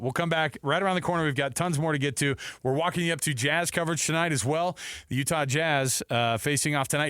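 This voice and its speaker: A man's voice: a little high, fairly bright tone, smooth, dry recording, clean studio sound. A man hosting casual sports talk radio, chatty and repeating himself for emphasis.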